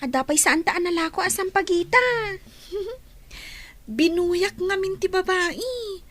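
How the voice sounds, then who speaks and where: A very high-voiced curious child. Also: female voice, slow pace, fairly bright tone, dry recording, exaggerated voice. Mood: curious, puzzled. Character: curious, chatty, innocent young child. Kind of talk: radio drama acting.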